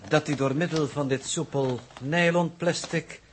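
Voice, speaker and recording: An actor playing a formal, wordy captive coordinator with pompous, elaborately polite speech in a 1960s Dutch radio drama. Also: male voice, fairly high pitch, fairly even intonation, measured pace, slight background noise, natural voice. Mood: courteous, ironic. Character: formal, wordy captive coordinator, coolly courteous adult man.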